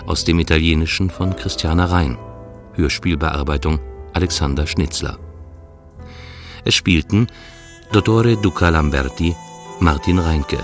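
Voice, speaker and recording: A male radio announcer with a very low voice reads credits formally. The delivery is measured and list-like. Music plays clearly underneath. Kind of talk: formal scripted credits reading.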